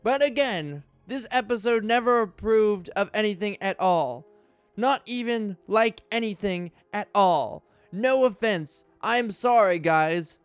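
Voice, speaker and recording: A man's voice: high-pitched, unhurried, clear, resonant tone, dry recording, soft background music. A man hosting a mock dramatic reading in a theatrical, exaggerated tone of outrage. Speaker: YouTube host reading a list.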